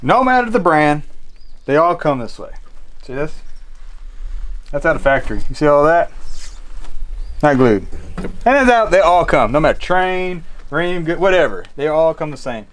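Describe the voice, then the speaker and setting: A man's voice: fairly high pitch, sing-song, moderate pace, long pauses, fairly bright tone. A man in his thirties, an HVAC and plumbing contractor, giving teasing, casual commentary as he works on a furnace. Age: 30s. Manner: joking, teasing play-by-play. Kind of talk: casual on-the-job vlog commentary.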